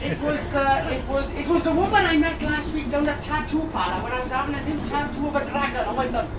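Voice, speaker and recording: A woman's voice: high voice, fast, natural voice. A woman playing Buttons, a cheeky, chatty, lovelorn Welsh servant lad in a pantomime, rambling colloquially to the audience. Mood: eager, cheeky. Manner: colloquial, rambling, tag questions. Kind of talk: British pantomime, bantering with the audience. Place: tavern stage performance. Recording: distant, echoey amateur video with crowd noise.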